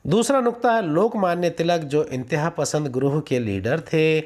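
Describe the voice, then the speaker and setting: Higher-pitched man teaching. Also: normal speed, a little mellow. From India. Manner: methodical, announces each numbered point. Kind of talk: scripted lesson, reading questions and answers aloud.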